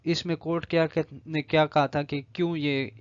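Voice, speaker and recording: A man with a Pakistani accent giving an explanatory law lecture, deliberately reading legal text, then repeating and rephrasing each point. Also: a little high, level tone. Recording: echoey room, dull lo-fi audio.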